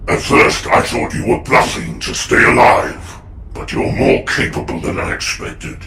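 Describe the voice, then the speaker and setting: A man playing a menacing, commanding alien warlord with a gravelly voice in a video game cutscene. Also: unhurried, pushed and bright. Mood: imperious, probing. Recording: echoey, distant, noisy game audio.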